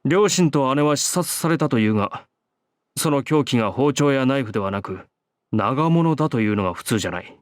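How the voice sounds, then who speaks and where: A man's voice: mid-range voice, animated, rapid-fire, dry recording, studio quality, close to an everyday voice. A man voicing an earnest, old-fashioned student council president in a visual novel, with stiff, monkish phrasing. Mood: grave.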